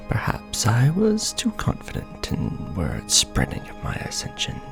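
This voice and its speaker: A man's voice: mid-range voice, wildly swooping pitch, slow, creaky, music in the background, heavily acted. A man playing an ancient, pompous, scheming vampire lord in a theatrical, courtly ASMR roleplay monologue. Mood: grandiose, courteous.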